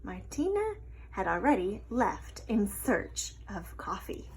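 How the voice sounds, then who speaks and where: A woman reading a picture book aloud as an expressive storyteller. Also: medium pitch, takes it slowly, slightly rough, low-quality recording.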